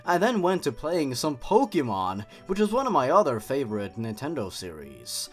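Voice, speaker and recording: A man's voice: mid-range voice, wildly swooping pitch, normal speed. A young man hosting a review show in scripted commentary, with theatrical, sardonic emphasis. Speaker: host of a horror story review channel. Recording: music behind the voice. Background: background music.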